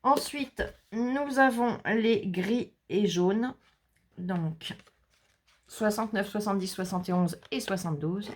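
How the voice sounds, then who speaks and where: A woman's voice: mid-range voice, slow. Chatty woman showing off new craft finds. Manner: chatty, reads out color names and numbers, jokes about herself. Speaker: scrapbooking vlogger. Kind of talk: casual haul video, showing products. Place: indoors, shutters closed against the heat.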